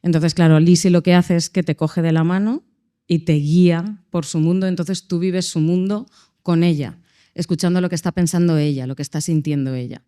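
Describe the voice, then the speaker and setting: A woman's voice: fairly low pitch, steady tone, normal speed, warm tone. A woman speaking on a panel at a public event, explaining decisions in an enthusiastic, upbeat way. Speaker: casting and production lead. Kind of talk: panel discussion answer.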